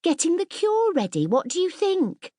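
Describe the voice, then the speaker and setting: A woman's voice: extremely high pitch, sing-song, moderate pace, dark tone, dry recording, studio quality, voice pushed up. A woman voicing a boastful, mischievous young princess in a grand, bossy, theatrical manner for a children's audiobook.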